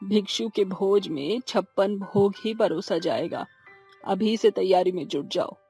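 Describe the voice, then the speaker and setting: Husky older mother-in-law, played by a woman, slow, stern, scolding, weary, cartoon drama, music behind. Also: mid-range voice, dark tone. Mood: commanding. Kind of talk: Hindi cartoon drama acting.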